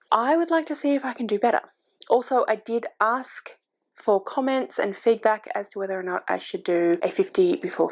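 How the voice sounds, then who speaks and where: Chatty woman in her forties, gravelly. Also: mid-range voice, a little mellow. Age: 40s. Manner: chatty, rambling, with frequent ums and self-corrections. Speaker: book YouTuber. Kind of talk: casual booktube chat. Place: home, with a cat on the microphone. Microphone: desk microphone.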